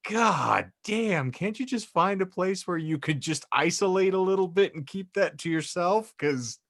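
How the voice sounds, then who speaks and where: A man's voice: high-pitched, expressive, a little slow, a bit bright, dry recording, studio quality. A man hosting a casual comedic podcast, talking in wordy, mock-formal phrases. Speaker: podcast host. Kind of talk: casual comedic panel chat.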